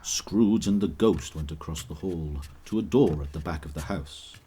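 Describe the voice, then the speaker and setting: A man narrating a classic story in a measured, expressive reading. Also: a bass voice, breathy edge.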